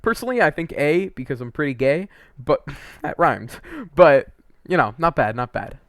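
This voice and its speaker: A man's voice: a little high, sing-song, normal speed, fairly bright tone, no room sound, clean studio sound. A man giving casual, opinionated game review commentary, informal and emphatic, stretching words for emphasis.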